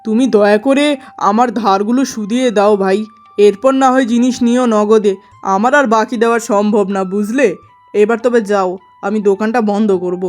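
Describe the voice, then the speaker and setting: A woman's voice: mid-range voice, steady tone, moderate pace, darkish tone, soft background music, lightly acted. A woman voicing a domineering, fault-finding merchant husband in a cartoon story, stern and complaining. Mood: exasperated. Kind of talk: melodramatic cartoon story acting.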